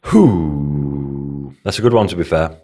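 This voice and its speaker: A man's voice: very deep voice, animated, slow, warm tone, soft background music. A man with a Hull accent, co-hosting a podcast about bodybuilding and coaching in a rambling, casual way, swearing now and then and laughing often.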